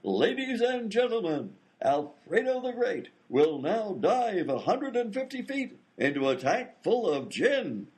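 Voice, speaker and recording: A lively, slightly high-pitched vaudeville manager played by a man, husky and wisecracking. He gets flustered and talks in wry banter, like an old radio comedy act. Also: exaggerated voice. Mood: flustered, scheming. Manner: wry, fast-talking banter.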